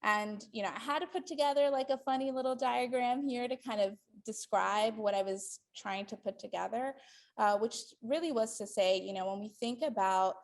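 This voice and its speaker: Gravelly-voiced woman lecturing thoughtfully. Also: on the high side, normal speed. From Dominican Republic. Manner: thoughtful, many ums and restarts. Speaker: guest lecturer. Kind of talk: lecture to a live audience.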